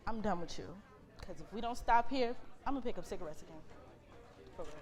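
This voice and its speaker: A woman's voice: medium pitch, expressive, quick pace, darkish tone, lightly acted. A woman in a staged reading playing an outspoken, principled factory manager with a blunt, exasperated way of talking.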